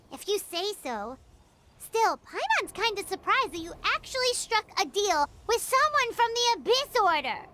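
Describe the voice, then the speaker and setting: A woman voicing a tiny, excitable, childlike fairy companion with a squeaky, indignant manner in a video game. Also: a little slow, background noise, full character voice. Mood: grudging. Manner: squeaky, speaks of herself in third person.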